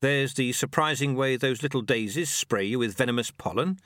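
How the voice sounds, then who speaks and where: A man's voice: mid-range voice, moderate pace, a bit bright, no echo, studio quality, pitched above the performer's own voice, lightly acted. A man voicing an earnest, nervous young novice monk in an audiobook, hesitant, deferential and easily awed. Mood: earnest, respectful.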